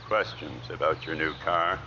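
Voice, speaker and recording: An actor playing a gloomy car salesman in a radio drama, speaking in a formal, deadpan, sardonic and measured way. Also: a bass voice, slight background noise, heavily acted. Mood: coolly sardonic. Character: tall, gloomy, curt male car salesman, dryly superior.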